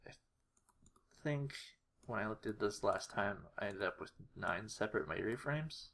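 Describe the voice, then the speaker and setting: Someone giving an informal editing walkthrough, talking haltingly and muttering to themselves while working. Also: sits low, lively intonation, creaky, a bit bright. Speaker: tutorial creator. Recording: noisy home recording, keyboard and clicks. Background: mouse clicks and keyboard typing.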